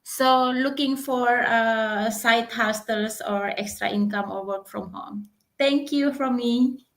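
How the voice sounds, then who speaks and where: Panel guest: woman from Indonesia, bright voice, simple English, filler words. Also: fairly high pitch, slow pace. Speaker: guest entrepreneur. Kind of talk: live online panel. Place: home in Austria.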